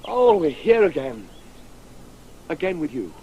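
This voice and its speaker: An actor playing an anguished middle-aged father full of guilt and grief in a radio play, halting and repeating himself. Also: fairly high pitch, very animated, warm tone, lo-fi sound. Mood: lost, fearful. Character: anguished, guilt-ridden father grieving a lost woman. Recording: faint hiss of an old radio broadcast.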